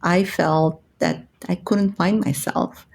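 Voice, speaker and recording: Gravelly low-voiced Ukrainian-born woman. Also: steady tone, slow, darkish tone. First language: Russian. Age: middle-aged. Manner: candid, storytelling. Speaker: guest physician. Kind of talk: podcast interview.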